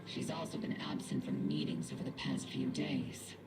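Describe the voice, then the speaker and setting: A woman voicing a stern, dutiful, weary but caring female army captain in a video game, with a low husky voice. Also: very animated, normal speed. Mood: grave. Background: game music.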